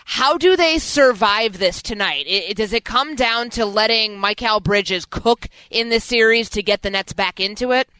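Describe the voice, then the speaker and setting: A woman's voice: on the high side, moderate pace, few pauses, bright, forceful tone, smooth, no echo, rough recording. A woman hosting a sports radio talk show with a polished broadcast delivery. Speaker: radio host.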